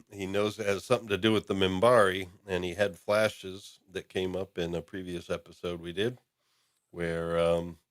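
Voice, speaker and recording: A deep-voiced male podcast host talks through an episode recap in a loose, unhurried ramble. He pads his sentences with ums and uhs and circles back on his points. The sound is dry and close, with no room echo. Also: fairly even intonation, fairly bright tone.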